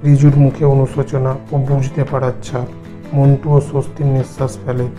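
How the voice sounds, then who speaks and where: Bright, even-toned male story narrator. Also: medium pitch, moderate pace, soft background music. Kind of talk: scripted horror story narration.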